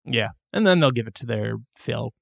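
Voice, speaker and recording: A man's voice: medium pitch, animated, gravelly, dry recording, studio quality. A man in his forties co-hosting a podcast, chatting casually with a loose, profane, joking manner. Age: 40s. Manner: loose, profane, quick to joke. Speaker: podcast co-host. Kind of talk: casual comedic chat.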